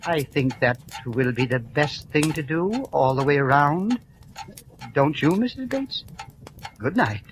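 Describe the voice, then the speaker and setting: An actor playing a polite, mild old gentleman lodger in a vintage radio drama, speaking in a courteous, gently fussy way. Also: a little high, animated, unhurried. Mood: soothing. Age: older. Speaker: elderly lodger. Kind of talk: 1940s radio acting. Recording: hissy old radio broadcast.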